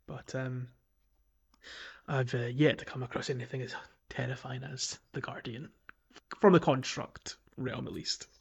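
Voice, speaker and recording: A man hosting a podcast in a casual, chatty style, speaking in a slightly halting way. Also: medium pitch, steady tone, gravelly, breathy edge. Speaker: podcast host. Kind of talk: casual podcast chat about a video game.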